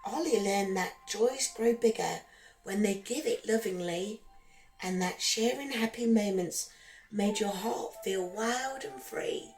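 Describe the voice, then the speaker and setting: A woman narrating a rhyming children's bedtime story in a gentle, expressive, singsong way, as a storyteller for young listeners. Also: medium pitch, slow pace, low-quality recording. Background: background music.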